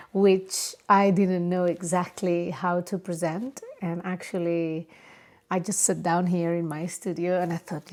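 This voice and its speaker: A woman's voice: lowish voice, darkish tone. A woman from Spain with a Spanish accent, a non-native English speaker, hosting a personal podcast and talking unscripted, often searching for words. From Barcelona area, Spain. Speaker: podcast host. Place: home or private studio.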